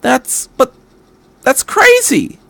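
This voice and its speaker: A man's voice: extremely high pitch, huge pitch swings, extremely slow, gravelly, a bit bright, no room sound, voice pushed up, exaggerated voice. A man voicing an anxious teenage boy pilot in a story, hesitant and incredulous as he questions. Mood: shocked, protesting.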